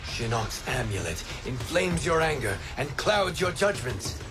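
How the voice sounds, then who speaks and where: An actor playing a patient, earnest wind god with a composed, formal manner in a video game cutscene. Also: male voice, medium pitch, big pitch swings, measured pace. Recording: game dialogue under music.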